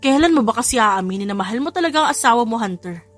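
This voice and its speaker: A woman's voice: on the high side, lively intonation, fast talker, a bit bright, faint music behind. A woman voicing a scolding, teasing, motherly old woman in an audio drama.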